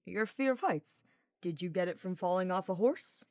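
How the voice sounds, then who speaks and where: A woman's voice: on the low side, expressive, moderate pace, no room sound, clean studio sound. A woman voicing a teasing, easygoing young male knight in a fantasy story, slangy and informal. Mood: playful.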